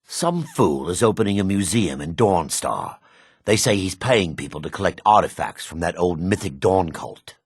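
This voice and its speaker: A weathered dark elf fighter, with an extremely deep voice full of gravel. He is grim and measured, with menace in a lively, moving intonation. It is fantasy game dialogue, recorded dry and close. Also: no echo, full character voice. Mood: defiant. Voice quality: gravelly, husky. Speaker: video game voice actor. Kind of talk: fantasy game character lines.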